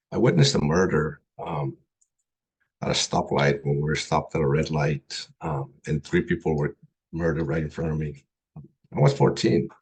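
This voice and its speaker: A middle-aged man who grew up in Colombia, giving a personal talk as a guest speaker, halting with many pauses and restarts. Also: rumbling low, slightly rough, poor audio.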